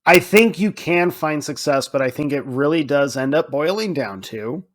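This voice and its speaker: A man's voice: a little high, lively intonation, normal speed. A man co-hosting a podcast, talking casually and thinking aloud as he goes. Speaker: podcast co-host.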